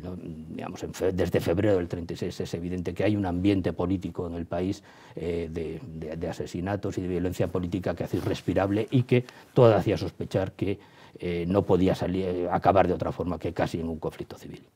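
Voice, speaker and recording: A man from explaining history as an interviewed professor, halting as he searches for words and checks with tag questions. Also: low voice, dark tone, breathy edge.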